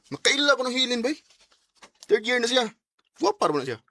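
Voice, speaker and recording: A man's voice: very high-pitched, very animated, a little fast, bright, forceful tone, no room sound, heavily acted. A man playing a playful, nosy male student who teases his friend, in Bisaya radio drama style. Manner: teasing, exclamatory. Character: teasing male school buddy, playful and nosy.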